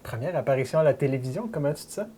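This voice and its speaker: A man with a Quebec French accent hosting a cooking show in casual chat, jovial and quick to laugh. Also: mid-range voice, expressive, mellow, slight background noise.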